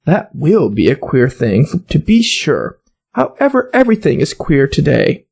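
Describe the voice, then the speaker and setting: A man's voice: on the high side, huge pitch swings, moderate pace, gravelly, darkish tone, slightly rough, heavily acted. A man voicing a polite, chatty, easily flustered little girl in a storybook, earnest and wordy. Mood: rueful. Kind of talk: storybook character voice.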